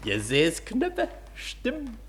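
An actor playing an obsequious, proud tailor who repeats himself effusively in an old German radio play. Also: male voice, sits high, huge pitch swings, takes it slowly, full character voice. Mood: excited, flattering. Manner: stammering repetitions, effusive chuckling salesmanship. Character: fussy, gushing, obsequious tailor proud of his uniform. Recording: faint hiss of old radio recording.

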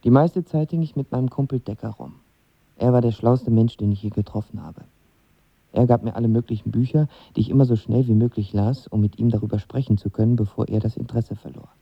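A man's voice: mid-range voice, steady tone, a little fast, gravelly, breathy edge, dry recording, muffled recording. An actor playing a lovestruck young man of twenty who tells his story in casual slang in a radio drama. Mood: reflective. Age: young adult. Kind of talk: radio drama narration and dialogue.

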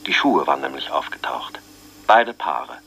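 An actor playing a weary but determined middle-aged detective who speaks in a brisk, matter-of-fact way. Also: male voice, very deep voice, big pitch swings, bright, forceful tone, husky, heavily acted, rough recording.

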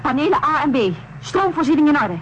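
A woman's voice: sits high, animated, quick pace, a little hiss or hum. An actress playing an alert female astronaut in a radio drama, calling out in clipped, urgent phrases. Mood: businesslike.

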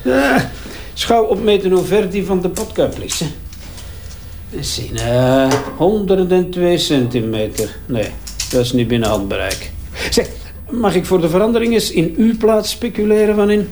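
Sardonic Flemish forensics man, higher-pitched. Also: expressive, normal speed, darkish tone, slight background noise. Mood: sardonic, amused. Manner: wry, bantering, hesitating as he examines. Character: talkative, sardonic male crime-scene forensic investigator. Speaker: forensic investigator. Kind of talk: naturalistic audio crime drama acting.

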